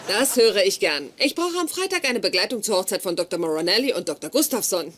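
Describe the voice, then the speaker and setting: A socially awkward lady scientist talks with formal bluntness in a dubbed sitcom. Her intonation swings widely under deadpan wording, with clear noise in the background. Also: mid-range voice, fast. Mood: self-satisfied. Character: socially awkward, self-assured female brain scientist.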